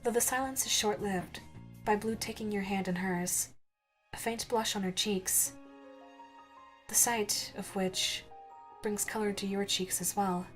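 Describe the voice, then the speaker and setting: A woman reading a scripted second-person ASMR story as narrator, in a soft, dreamy storytelling manner. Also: medium pitch, steady tone, a little slow, low-quality recording. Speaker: narrator and voice actress. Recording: music under the narration. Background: instrumental music.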